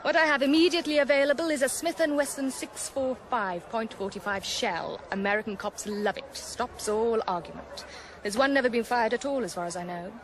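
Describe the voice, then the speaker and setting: An actress playing a coy, knowing and businesslike underworld gun dealer in a radio thriller. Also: female voice, a little high, a little fast, natural voice. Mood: brisk, businesslike. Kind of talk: 1990s radio thriller acting. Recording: noisy outdoor crowd ambience. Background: dog track crowd.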